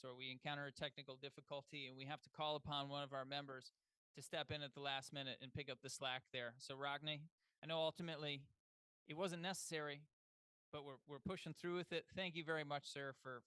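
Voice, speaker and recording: A man's voice: a little high, bright, forceful tone, clear, resonant tone, no room sound. A man acting as toastmaster at a club meeting, introducing speakers with upbeat hype.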